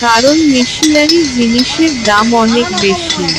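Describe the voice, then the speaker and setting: A woman's voice: sits high, unhurried. A woman voicing a curious, earnest little village boy in a cartoon moral story. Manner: inquisitive, earnest. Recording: music laid loudly under the voice.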